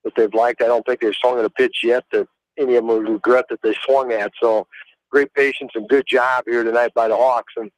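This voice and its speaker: A man's voice: medium pitch, level tone, a little fast, no echo, rough recording. An American man giving casual, rambling color commentary on a live baseball radio broadcast. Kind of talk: casual live game analysis.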